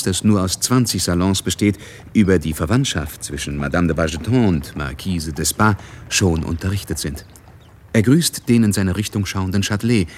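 An actor playing a composed, knowing narrator in a radio drama, delivering literary narration in a measured, wry way. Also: male voice, low voice, slight background noise. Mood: observant. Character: knowing, composed male narrator of a society novel.

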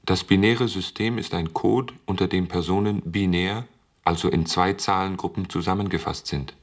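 An actor playing a lonely, childlike sentient computer in a radio drama, speaking in slow, halting, literal phrases. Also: male voice, deep voice, fairly even intonation. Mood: puzzled, literal.

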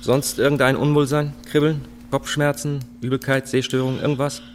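A man acts a cautious hospital doctor in a radio play, with a mid-pitched voice. He is procedural and evasive, hemming his way through, over faint background ambience. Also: level tone, slight background noise, natural voice. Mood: routine questioning. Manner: hesitant, measured, with many hems. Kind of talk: German radio drama acting.

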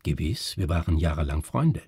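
A grave, educated doctor, acted by a male narrator from a literary tale. He speaks formally and broodingly, with a very husky, mellow voice that stays close and dry. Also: very deep voice, animated, normal speed, dry recording, pitched below the performer's own voice, exaggerated voice.

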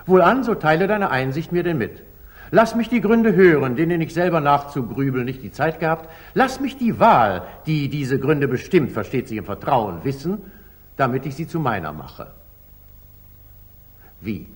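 An actor playing a commanding, probing medieval sultan in a declamatory 1940s German radio drama style. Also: male voice, fairly high pitch, very animated. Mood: insistent, probing. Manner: commanding, declamatory, deliberate phrasing.

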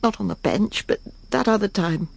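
A woman's voice: mid-range voice, moderate pace, vocal fry, noisy background. An actress in a radio drama playing a proper, judgmental English wife and mother with a clipped, haughty manner. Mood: musing, unsettled. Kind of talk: BBC radio drama acting.

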